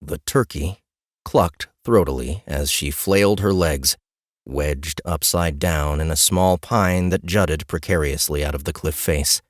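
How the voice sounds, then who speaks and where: A man with a deep, low voice narrates a fantasy audiobook. The sound is dry, with no room around it. He reads prepared prose as a storyteller. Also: measured pace, no room sound.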